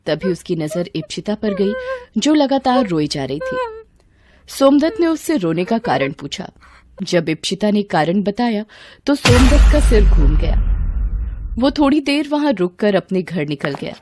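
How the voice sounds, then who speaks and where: A woman's voice: medium pitch, sing-song, a little slow, background noise. A woman reading a scripted story as narrator, acting out its dialogue.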